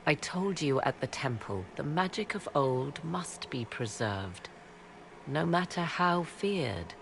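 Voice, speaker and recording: A woman voicing an aloof, proud witch who speaks in a cool, measured, dryly ironic way. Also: low-pitched, animated, gravelly, slight background noise, exaggerated voice, low-quality recording.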